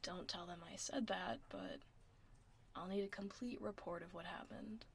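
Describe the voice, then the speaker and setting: A woman playing a laid-back tomboy werewolf office admin in a soft, casual, wry ASMR roleplay. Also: lowish voice, normal speed, natural voice. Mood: apologetic, businesslike. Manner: casual, reassuring, wry, murmuring. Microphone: close binaural ASMR microphone. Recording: close binaural mic, faint background noise.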